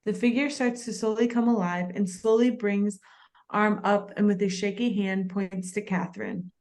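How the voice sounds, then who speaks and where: A woman with a mid-pitched voice narrates stage directions. She reads the scripted action as the narrator of a table read. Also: steady tone, normal speed, a little mellow.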